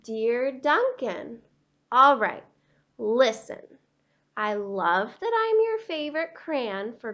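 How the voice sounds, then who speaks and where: A woman's voice: sits high, bright, forceful tone, muffled recording, full character voice. A woman voicing a fussy, neat purple crayon, exasperated and insistent, in a storybook read-aloud.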